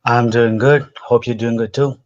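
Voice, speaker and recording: A man from India speaking in a measured, polite manner. The recording is dull and lo-fi, as if it passed through a basic laptop mic. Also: medium pitch, steady tone. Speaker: MBA student.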